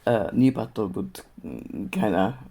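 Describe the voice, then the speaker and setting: A woman's voice: a bass voice, animated, unhurried, gravelly, warm tone. Older Austrian woman reminiscing. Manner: hesitant, many fillers and restarts. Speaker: interviewee. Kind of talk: reminiscing interview.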